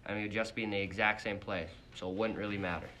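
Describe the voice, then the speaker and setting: A man playing a sullen, bereaved father who is quick to snap and mixes slang with archaic insults. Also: fairly low pitch, fairly even intonation, noisy background, lightly acted. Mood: glum, resigned.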